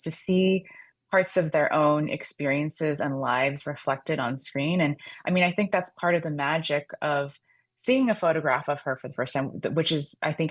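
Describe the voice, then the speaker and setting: A woman author being interviewed on radio, explaining her subject fluently and adding a questioning "right?" to her points. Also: low voice. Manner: fluent and explanatory, often adds a tag "right?". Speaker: author and guest.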